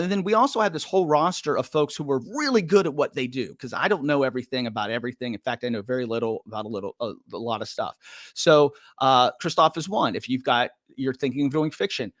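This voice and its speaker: A man's voice: mid-range voice, a bit bright, no room sound, studio quality. An older man hosting a live-stream podcast, upbeat and chatty, joking at his own expense. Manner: upbeat, chatty, self-deprecating. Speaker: podcast host.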